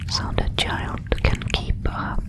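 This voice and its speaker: A woman voicing a curious, lonely alien creature in an ASMR audio drama, in a breathy whisper with solemn, deliberate phrasing. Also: lightly acted. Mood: curious, contemplative.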